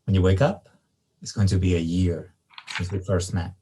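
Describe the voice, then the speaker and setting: An actor at a table read playing a shy, gentle young student in a hesitant, understated way. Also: male voice, deep voice, level tone, moderate pace, a little hiss or hum, lightly acted. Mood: calm, mysterious. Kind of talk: screenplay table read, naturalistic dialogue.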